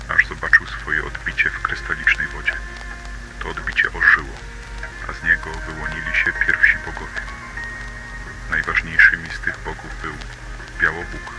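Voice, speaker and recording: A man reading a scripted myth as a storybook narrator for an analog horror tape, with loud music behind him. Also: a bass voice, low-quality recording.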